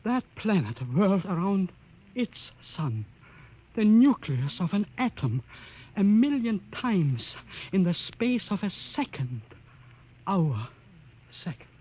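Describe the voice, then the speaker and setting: A grieving old scientist. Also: male voice, high-pitched, very animated, darkish tone, exaggerated voice, rough recording. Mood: grave. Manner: slow, heavy, explanatory. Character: aged male scientist, grieving and awed. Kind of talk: 1950s radio drama acting. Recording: old radio broadcast with faint hum.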